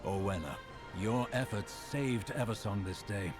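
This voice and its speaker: An actor as a deep, stately elven lord. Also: male voice, a little slow, music in the background, close to an everyday voice. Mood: stately gratitude. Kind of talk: video game voice acting.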